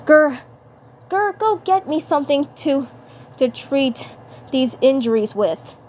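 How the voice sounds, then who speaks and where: A woman's voice: high-pitched, expressive, slow, a little mellow, voice pushed up. A woman voicing a bossy male alien invader in a cartoon story, repeating commands. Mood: commanding. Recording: clear background noise.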